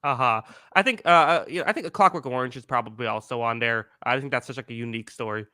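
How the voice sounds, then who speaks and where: A man in his twenties hosting a podcast in casual chat, rambling with many fillers and restarts, with a higher-pitched voice. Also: fast talker, pushed and bright, dry recording, studio quality. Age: 20s. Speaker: podcast host.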